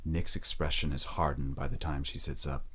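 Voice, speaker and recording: An extremely low-voiced man serves as narrator of an audio drama. He reads scripted scene descriptions in a deep bass register. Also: level tone, moderate pace, fairly bright tone.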